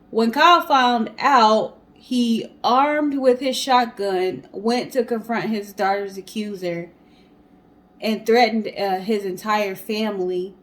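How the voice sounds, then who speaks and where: A woman with an average-pitched voice co-hosts a storytime show. She relates the story from notes in a casual, conversational style. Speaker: co-host of a storytime guessing-game show.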